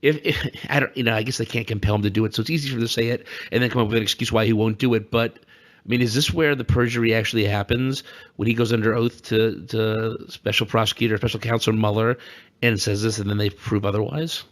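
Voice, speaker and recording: A man's voice: lowish voice, fairly even intonation, fast. A man co-hosting a podcast with casual, joking political commentary full of teasing asides. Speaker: podcast co-host.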